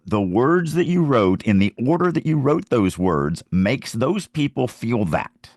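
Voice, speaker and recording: A man's voice: mid-range voice, very animated, a little slow, darkish tone, no room sound, studio quality. A man hosting a podcast and teaching about writing in casual, emphatic, insistent talk. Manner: emphatic, insistent, stresses key words.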